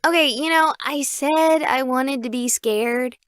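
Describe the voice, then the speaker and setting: A woman playing an excitable, chatty young streamer exploring a haunted place in a visual novel, with an expressive, playful delivery. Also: high voice, measured pace, no room sound, studio quality. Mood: dread, exasperated.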